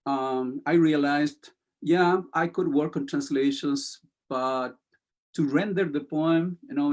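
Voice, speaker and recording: A man with a Spanish accent, a poet and interview guest, speaking slowly and hesitantly with many pauses and fillers, and reading poems aloud. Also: medium pitch. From Chile. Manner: slow, hesitant, many fillers, soft-spoken.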